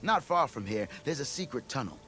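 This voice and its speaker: An actor playing a boastful, theatrical expedition leader in a video game, talking in a grandiose, florid way. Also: male voice, mid-range voice, very animated, brisk, fairly bright tone, a little hiss or hum. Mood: dramatic, confiding. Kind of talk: video game character acting.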